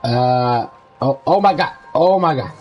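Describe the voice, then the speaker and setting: A man's voice: mid-range voice, expressive, measured pace, dull audio, faint music behind. A man giving casual, off-the-cuff live commentary as he streams a video game.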